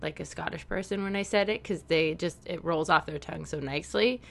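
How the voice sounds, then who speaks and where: Canadian woman cohost, really low gravelly voice, chatty and loose. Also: brisk. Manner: chatty, lots of "like", hesitant restarts. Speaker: podcast co-host. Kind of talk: casual chat.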